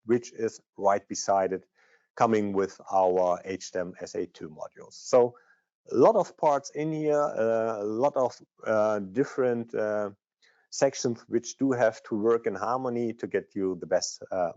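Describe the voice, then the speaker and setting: Low, mellow-voiced man presenting, slightly muffled. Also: unhurried, dry recording. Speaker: product manager presenting. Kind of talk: technical product training presentation.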